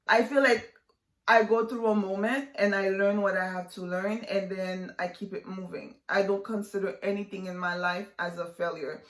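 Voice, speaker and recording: A chatty woman in her twenties, originally from Haiti, talks to her viewers in a relaxed vlog style. Her voice sits at an ordinary middle pitch. The room around her gives the sound a noticeable echo. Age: 20s. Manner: chatty, lots of fillers and likes. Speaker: vlogger. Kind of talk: casual vlog chat to camera.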